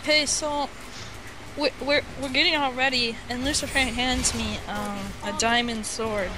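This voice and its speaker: A woman with a high voice tells a story off the cuff in a casual chat. The delivery is halting and rambling, slipping into quoted dialogue. It sounds like a noisy amateur voice chat. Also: fairly even intonation. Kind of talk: casual improvised storytelling over a game chat.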